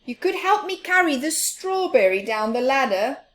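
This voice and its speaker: A woman's voice: high voice, big pitch swings, moderate pace, pitched above the performer's own voice. A woman voicing a hard-working, easily exasperated male big mouse in a children's picture book, calling out and chiding.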